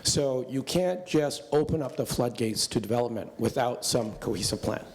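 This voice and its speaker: Hoarse Canadian man at a debate. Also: medium pitch, normal speed, darkish tone, slight background noise. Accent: Canadian English. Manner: rousing, emphatic. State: hoarse from illness. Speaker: mayoral candidate. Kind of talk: political debate.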